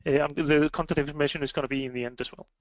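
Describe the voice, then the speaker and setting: Male webinar presenter, Icelandic accent, higher-than-average pitch, halting delivery, dry and slightly muffled online sound. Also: steady tone, no room sound. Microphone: online web meeting microphone.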